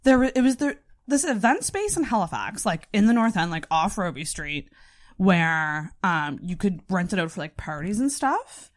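Podcast host, woman in her thirties, chatty and animated, lively pitch. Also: normal speed. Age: 30s. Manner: chatty, animated, laughs often. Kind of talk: casual chat.

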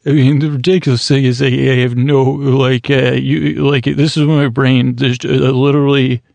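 Rambling, gravelly-voiced sleep podcast man. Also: medium pitch, flat delivery, a little mellow, no room sound. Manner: meandering, halting, drifting into slow drawn-out words. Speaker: podcast host. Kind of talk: rambling bedtime talk to put listeners to sleep.

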